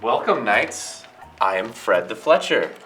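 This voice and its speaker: Bright-voiced, musing fletcher played by a man. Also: lowish voice, very animated, unhurried, faint music behind. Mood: welcoming. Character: thoughtful, welcoming medieval fletcher. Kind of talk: amateur children's readers' theater acting.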